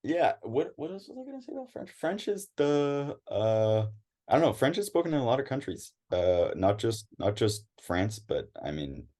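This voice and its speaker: A man's voice: medium pitch, big pitch swings, normal speed, dark tone. A young American man chatting as an interview guest, hesitant, with many restarts and fillers. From United States. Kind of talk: casual interview chat.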